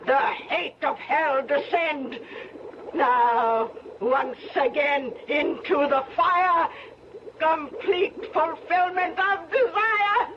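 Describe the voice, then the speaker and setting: Slow, vengeful old witch. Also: high voice. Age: older. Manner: slow, incantatory, dramatic. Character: vengeful old witch granny, slow and menacing, devoted to her cat. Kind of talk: old-time radio horror acting. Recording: dull old radio recording, noisy background.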